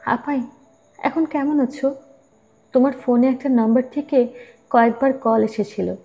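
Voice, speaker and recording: A woman's voice: high-pitched, fairly even intonation, measured pace, mellow, soft background music. A woman voicing a caring, inquisitive, girlish younger sister in an audio story. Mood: concerned questioning. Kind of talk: Bengali audio story acting.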